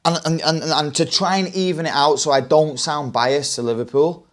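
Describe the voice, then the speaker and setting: Young man, podcast host, fairly high voice, ranting, animated, casual. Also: normal speed. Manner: ranting, animated, swears and says "mate". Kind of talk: casual football chat.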